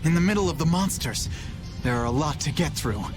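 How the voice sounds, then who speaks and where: A man playing a dutiful, earnest young knight commander in an anime game dub, crisp and commanding, with music behind. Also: a little high, lively intonation, brisk, close to an everyday voice. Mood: serious resolve.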